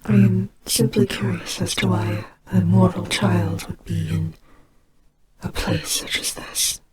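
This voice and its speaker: Woman voicing a slow, gentle goddess of death. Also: on the low side, steady tone, close to an everyday voice. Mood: gentle, concerned. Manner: soft, breathy, hesitant, reassuring. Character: gentle, soothing goddess of death sheltering a child. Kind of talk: slow dramatic audio roleplay. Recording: clearly noisy amateur home recording. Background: ambience sound effects.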